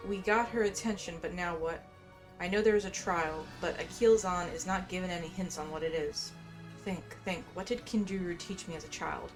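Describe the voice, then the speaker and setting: A woman's voice: lowish voice, steady tone, moderate pace, music in the background, low-quality recording. A woman playing through a game story, commenting casually with offhand asides and reading quest text aloud.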